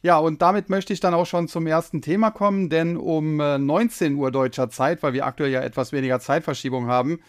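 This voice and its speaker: A man from Germany hosting a solo finance podcast, explaining at length with many hesitations, his voice slightly hoarse from a cold. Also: a little high, steady tone, a little fast, few pauses. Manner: explanatory, frequent filler hesitations. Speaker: podcast host.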